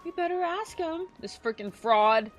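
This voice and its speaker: A woman's voice: very high voice, lively intonation, normal speed, slight background noise. A woman chatting casually and slangily on a game livestream. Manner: slangy, informal. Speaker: game streamer. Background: game music.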